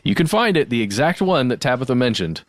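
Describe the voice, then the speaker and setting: A man's voice: medium pitch, sing-song, fast talker, dry recording. A man co-hosting a podcast, chatting casually with informal, loose phrasing. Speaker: podcast co-host. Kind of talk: casual chat.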